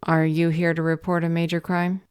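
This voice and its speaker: A woman's voice: fairly low pitch, monotone, normal speed, a little mellow, clear, resonant tone, dry recording, studio quality, natural voice. A woman playing an unflappable clerk at a magical government office in an audio roleplay, with an officious, matter-of-fact manner. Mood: businesslike.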